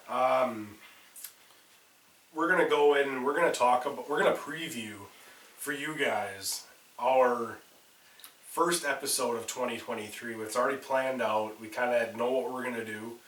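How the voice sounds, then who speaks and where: A man's voice: medium pitch, a little slow, a bit bright, reverberant, distant mic, rough recording. A man co-hosting a casual horror podcast, talking with a nasal stuffiness from a cold and swearing freely between restarts. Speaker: podcast co-host. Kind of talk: casual chat.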